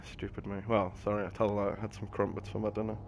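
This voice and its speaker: A man's voice: lowish voice, level tone, normal speed, gravelly, highs cut off, background noise. A British man giving casual, chatty, rambling commentary while streaming a video game. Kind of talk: casual gameplay commentary with banter. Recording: game music and sounds behind. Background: video game music.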